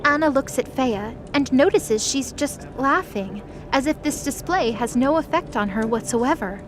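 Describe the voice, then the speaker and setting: A woman's voice: high-pitched, expressive, moderate pace, background noise. A woman reading scripted story narration for a fantasy audio drama. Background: music.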